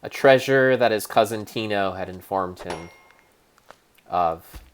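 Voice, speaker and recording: Male streamer, low voice, unhurried mock-epic narration with stumbles, faint hiss behind. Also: poor audio. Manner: deadpan storyteller, halting restarts and self-corrections. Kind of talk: mock-dramatic improvised narration.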